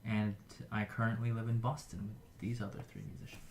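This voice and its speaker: A man's voice: lowish voice, flat delivery, brisk, a little hiss or hum. A man from New Jersey, a cellist, introducing himself plainly.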